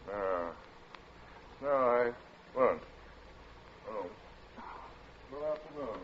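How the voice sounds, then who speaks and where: An actor playing a shaken, stiffly polite captain in an old radio drama, halting and restrained. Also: male voice, mid-range voice, animated. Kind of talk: golden age radio drama acting. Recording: dull, hissy old radio recording.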